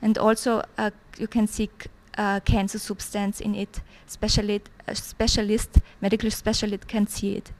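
Austrian woman in her thirties, German native, hesitant testimony with frequent pauses, mid-pitched voice. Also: flat delivery, darkish tone. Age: 30s. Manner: hesitant, many pauses and fillers. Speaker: church visitor giving testimony. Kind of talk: interview testimony.

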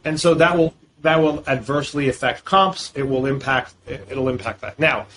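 Talkative lawyer guest. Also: male voice, mid-range voice, normal speed, a bit bright, slight background noise. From Boston, US. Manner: fluent, talks in long explanatory runs with frequent fillers. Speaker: guest, real estate lawyer. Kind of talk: podcast interview.

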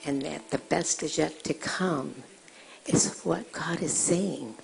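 A woman in the congregation with a low voice, soft-spoken and halting as she gives a prophetic word and prays. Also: vocal fry, slight background noise. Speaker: congregation member.

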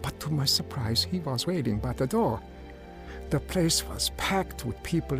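A despairing down-and-out drifter in a radio drama. His voice is gravelly and middling in pitch, downcast and hesitant, with music playing prominently behind it. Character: despairing down-and-out man telling his life story. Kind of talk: first-person radio drama narration and acting.

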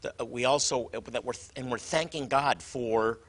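Man, bright forceful voice, mid pitch, religious show host, conversational teaching, halting delivery.

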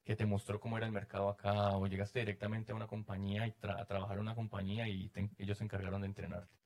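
A man's voice: lowish voice, level tone, racing, a little mellow. A man hosting a conversational interview, often interjecting brief acknowledgements while the guest talks. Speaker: show host interviewing a guest. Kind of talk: conversational real estate interview.

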